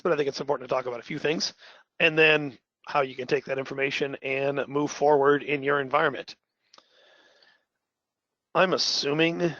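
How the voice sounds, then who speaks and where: A man with a cold-roughened, raspy voice presents a technical webinar in a relaxed, upbeat, conversational way. His recording sounds dry and a little dull, with no room echo. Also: mid-range voice. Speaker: technical trainer.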